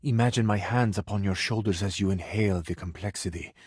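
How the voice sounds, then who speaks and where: A man's voice: lowish voice, brisk, a little mellow, breathy edge, dry recording, studio quality. A man playing a romantic, grandiose Italian lover in a video game, speaking with an Italian accent in a flowery, amorous, theatrical manner. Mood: sensual, intimate. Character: romantic, grandiose Italian household object come to life, suave male lover.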